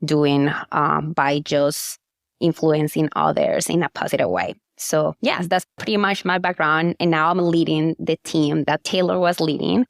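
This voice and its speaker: Low-voiced woman with a Colombian accent. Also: animated, creaky, no echo, studio quality. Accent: Colombian Spanish. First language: Spanish. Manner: friendly, unhurried, with "uh" and "um" pauses. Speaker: guest, leadership coach. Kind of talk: casual podcast interview.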